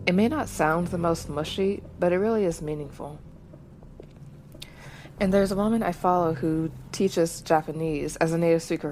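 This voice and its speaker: Female podcast host, lower register, measured and reflective, light background noise. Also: a little mellow. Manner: measured, reflective, with small digressions. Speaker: podcast host reacting to anime. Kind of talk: thoughtful solo commentary.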